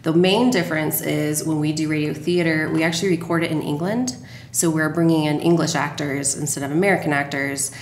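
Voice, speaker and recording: A woman's voice: deep voice, fairly even intonation, a little fast. A woman speaking conversationally as an interview guest, explaining her production work. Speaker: guest, radio drama producer. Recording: roomy echo, background noise.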